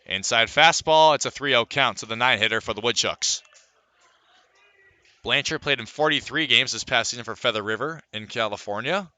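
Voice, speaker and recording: Bright, forceful male baseball play-by-play announcer. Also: mid-range voice, moderate pace, no echo. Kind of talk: live sports play-by-play commentary.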